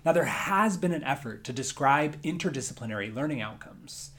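A male university lecturer giving measured, scripted narration about a course. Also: medium pitch, expressive, a bit bright.

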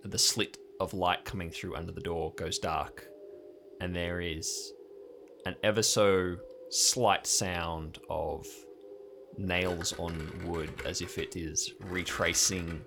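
A man narrating an improvised horror tabletop game as game master, slowly and suspensefully with deliberate pauses. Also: deep voice, fairly even intonation, noisy background.

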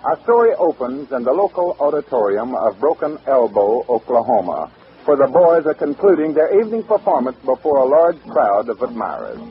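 A man's voice: mid-range voice, very animated, moderate pace. A man with an American accent announcing and narrating an old-time radio drama from a script. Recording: tinny, narrow-band vintage radio recording.

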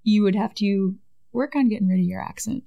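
Midwestern woman with a creaky voice. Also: medium pitch, brisk, dark tone, highs cut off. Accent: Midwestern American. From Michigan. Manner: chatty, frequent "right?" and "like". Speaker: guest, Air Force public affairs NCO. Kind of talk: casual interview chat.

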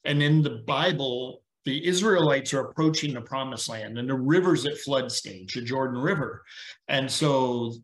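A middle-aged man talks earnestly over a video call, leaning into stories and lessons like a lay preacher. His voice sits at an ordinary pitch, and the room around him rings with a noticeable echo. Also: moderate pace. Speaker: podcast guest. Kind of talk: casual conversation.

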